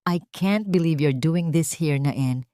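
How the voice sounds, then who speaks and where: A woman's voice: low-pitched, takes it slowly, mellow, no room sound, studio quality. A woman narrator voicing a cold, contemptuous, wealthy husband in an audio love story. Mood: scornful.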